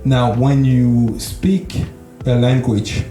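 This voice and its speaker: A man with a slight French accent talks casually, looping back over his own words as he works toward a point. His voice sits in a medium pitch and carries the echo of a live room, with music playing behind him. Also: fairly even intonation, drawn-out words. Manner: repeats himself, restarts often. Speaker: podcast co-host. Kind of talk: casual chat.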